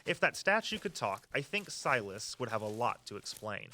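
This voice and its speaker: An actor playing an eager, earnest walking-tour guide who tells local history with a storyteller's flair. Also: male voice, mid-range voice, sing-song, normal speed, bright, forceful tone. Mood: earnest, reflective. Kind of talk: audio drama dialogue, storytelling tour patter.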